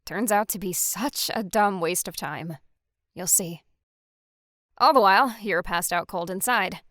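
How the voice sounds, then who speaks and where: A woman playing a defiant young punk who tells a fugitive road story in a slangy, conversational way, in an animated fan drama. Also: mid-range voice, unhurried, no echo, clean studio sound, natural voice. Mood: wry, intense. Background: background music.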